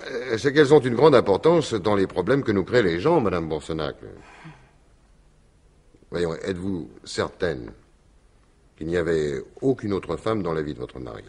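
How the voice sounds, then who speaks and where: An actor playing a courteous, dogged French police commissioner in a radio mystery, with hesitant, probing questions. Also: male voice, low voice, animated, normal speed, a little mellow, breathy edge, exaggerated voice. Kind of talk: 1950s-style French radio mystery acting.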